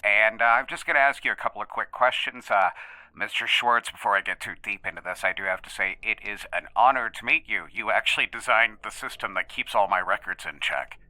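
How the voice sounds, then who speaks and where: A man playing a formal head researcher conducting an interview in an audio drama, polite, guarded and halting. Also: on the low side, bright, forceful tone, slight background noise, rough recording. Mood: polite, awkward.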